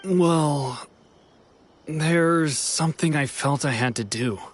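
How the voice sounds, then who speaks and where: A man's voice: a little high, slow pace, faint music behind. An actor playing a polite, hesitant, humble man on the run in a video game. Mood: grateful, unsure. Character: earnest, apologetic male fugitive, polite and hesitant. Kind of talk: English video game voice acting.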